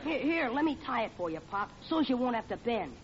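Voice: medium pitch, very animated, a little fast, over a noisy crowd, lightly acted. A performer playing an eager young schoolboy son with boyish slangy talk in an old radio comedy. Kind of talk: 1940s radio comedy acting. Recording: noisy, muffled old radio recording.

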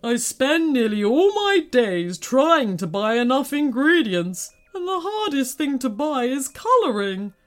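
Woman putting on a high-pitched, melodramatic giant alien villain. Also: sing-song, unhurried, few pauses. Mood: tearful, exhausted. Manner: wailing, bellowing, melodramatic. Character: giant red alien villain, oversized, male, blustering and weepy.

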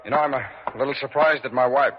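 A man's voice: medium pitch, fairly even intonation, fast talker, close to an everyday voice. An actor playing an easygoing, sporty husband and father in classic radio drama, casual and colloquial. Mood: worried, hurried. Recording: very noisy, dull vintage radio recording.

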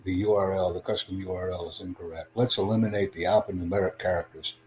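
A man hosting a livestream podcast, addressing callers in a deliberate, instructive way. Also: sits low, steady tone, a little mellow. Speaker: podcast host. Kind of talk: livestream chat with online callers. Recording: clearly noisy, humming background.

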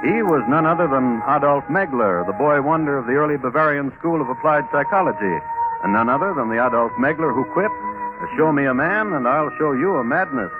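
A thin old radio recording with music behind a man acting as a wry crime chronicler. He narrates drily and deliberately, with widely varied pitch. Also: lively intonation, phone-quality sound, lightly acted. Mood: dramatic, deliberate. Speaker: host and narrator. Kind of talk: scripted narration, 1950s radio drama.

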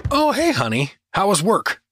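Actor playing fumbling everyman dad, animated delivery. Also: sits high, moderate pace, noisy background. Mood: friendly. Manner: hesitant, fumbling, apologetic. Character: Doug, well-meaning but clueless everyman dad turned adventurer. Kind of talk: fantasy audio drama acting.

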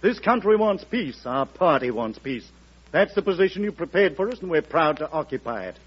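Vintage radio drama: dignified, earnest legislator standing firm, rough-edged voice, dull archival sound. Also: male voice, fairly high pitch, sing-song, normal speed. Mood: earnest, resolute. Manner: formal, earnest, stubborn. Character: firm, dignified congressional leader opposing the president. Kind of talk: old radio drama acting. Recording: muffled old radio recording.

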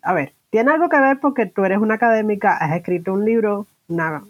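A woman's voice: mid-range voice, brisk. Woman podcast host, slightly dull sound. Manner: mixes English words into Spanish. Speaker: podcast host interviewing a guest. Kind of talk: informal bilingual podcast interview.

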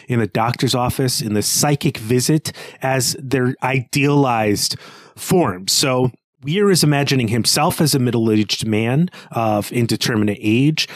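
A man co-hosting a literary podcast, thinking aloud as he analyses a text in halting phrases. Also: medium pitch, dry recording, studio quality. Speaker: podcast co-host.

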